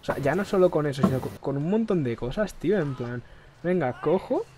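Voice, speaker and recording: A man's voice: a little high, fairly quick. A man with a Spain Spanish accent rambles and swears his way through a gameplay session. His throat keeps catching, and the headset mic sits close to his face. A faint hiss runs underneath. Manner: rambling, crude, excitable. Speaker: gaming streamer. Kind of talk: casual gameplay chat.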